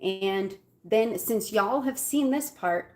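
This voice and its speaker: A woman's voice: mid-range voice, lively intonation, takes it slowly, darkish tone. A woman with an Algerian Arabic accent and Arabic as her first language, chatting casually while she bakes in her home kitchen, with lots of fillers and giggles. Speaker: cooking vlogger. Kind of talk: casual chat while baking.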